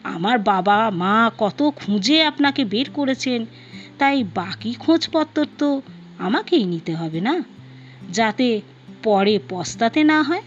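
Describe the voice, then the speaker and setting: A woman's voice: fairly high pitch, animated, takes it slowly. A woman storyteller voicing a confident, probing young male suitor, courteous and matter-of-fact. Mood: assured, knowing. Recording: dull lo-fi sound with music behind.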